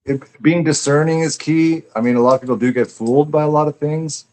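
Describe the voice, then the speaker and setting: A man's voice: a little high, fairly even intonation, a little fast, darkish tone. A man talking casually and reflectively as a guest author, earnest and repeating words as he thinks aloud. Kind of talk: casual, reflective interview chat.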